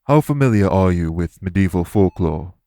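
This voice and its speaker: Man acting solemn, low-voiced guilt-ridden fae. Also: lively intonation, dark tone. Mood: grave, explanatory. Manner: measured, formal, earnest, deliberate phrasing. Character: solemn, guilt-ridden male fae explaining magic to a patient. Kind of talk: audio roleplay acting, addressed to listener.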